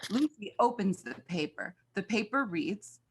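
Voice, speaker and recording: A woman's voice: mid-range voice, animated, a little slow, a little hiss or hum, low-quality recording. A woman reading scripted stage directions as the narrator of a screenplay.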